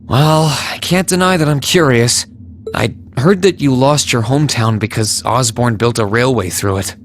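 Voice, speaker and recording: A man's voice: mid-range voice, moderate pace, a little hiss or hum, close to an everyday voice. An actor playing a polite, earnest and cautious young man in a video game, speaking a little hesitantly. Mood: earnest, curious.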